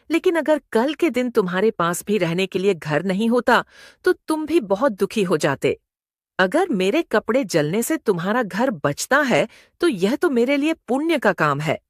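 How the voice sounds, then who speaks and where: A woman's voice: fairly high pitch, sing-song, a little slow, dry recording, clean studio sound. A woman voicing a kind, selfless mother elephant in a children's moral-story cartoon, speaking earnestly. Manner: earnest, explanatory. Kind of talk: Hindi animated moral story dialogue.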